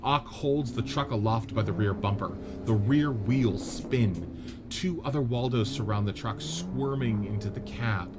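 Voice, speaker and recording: A man narrating the stage directions of a script reading in a steady, matter-of-fact way. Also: fairly low pitch, a little slow, heavy background noise.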